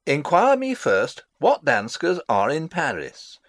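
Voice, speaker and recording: A man's voice: a little high, huge pitch swings, slow pace, no echo, exaggerated voice. An actor playing a fussy, long-winded old father and royal counsellor in a theatrical Shakespeare reading, pompous and rambling. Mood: fussily instructing. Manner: rambling, pompous, theatrical, losing his thread. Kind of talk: dramatic reading of a Shakespeare play.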